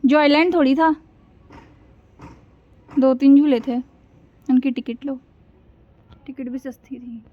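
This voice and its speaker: A woman chatting casually with family, telling things in an animated way and asking questions. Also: sits high, takes it slowly, pauses often. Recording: dull lo-fi home recording.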